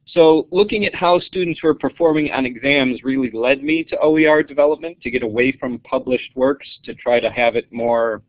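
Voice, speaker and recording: A male geology professor giving a webinar presentation in a measured, self-described monotone with frequent uhs. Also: mid-range voice, dry recording, narrow, tinny audio. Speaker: geology professor, guest speaker. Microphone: web call.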